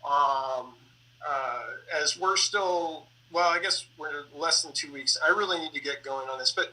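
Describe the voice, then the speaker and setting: A middle-aged man speaking conversationally as a sound designer, in halting phrases full of pauses and self-corrections. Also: fairly high pitch, bright, forceful tone, far from the mic, low-quality recording. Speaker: sound designer and composer for radio plays. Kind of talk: conversational panel talk about theater production.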